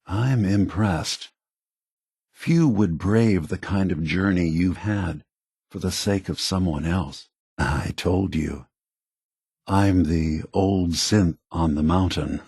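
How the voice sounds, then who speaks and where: An actor playing a gentle, weary old male android leader in a video game, husky and soft-spoken, with a measured, contemplative, earnest manner. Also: deep voice, dry recording, studio quality, full character voice. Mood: calm, reassuring. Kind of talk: video game character dialogue.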